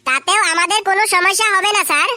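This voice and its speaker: A performer voicing a cheeky, quick-witted schoolboy prankster with a squeaky, artificially high voice in a comedy cartoon. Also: sharp tone, no echo, studio quality, exaggerated voice. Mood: cocky.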